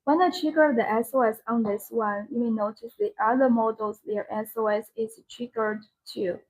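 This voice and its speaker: A woman's voice: on the high side, moderate pace, background noise. A woman who speaks English as a second language, presenting products on a live sales stream in simple, short, repeated phrases. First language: not English.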